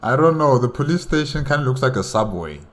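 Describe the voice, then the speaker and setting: A man's voice: mid-range voice, big pitch swings, fast talker. A man hosting a reaction video, talking casually with lots of fillers and repeated words. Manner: rambling, many fillers and repeats.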